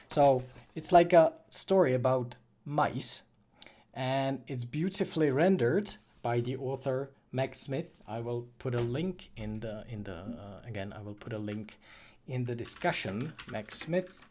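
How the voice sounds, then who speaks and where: A man's voice: mid-range voice, darkish tone. A man from the Czech Republic with a Czech accent, casually answering viewer questions as host of a livestream, in a hesitant, self-correcting way. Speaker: livestream host, freelance 3D artist and course creator.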